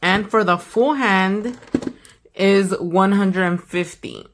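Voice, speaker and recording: A young woman hosting a nail channel, chatting casually and slangily while unboxing, a little hoarse from a sore throat. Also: mid-range voice, takes it slowly, slight background noise. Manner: chatty, slangy, trailing off to a whisper. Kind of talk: casual product unboxing chat.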